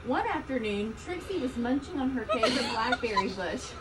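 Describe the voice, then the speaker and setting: Woman, children's storybook read-aloud, high voice, expressive emphasis, distant mic with loud background noise. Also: moderate pace, a loud crowd. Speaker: storybook reader.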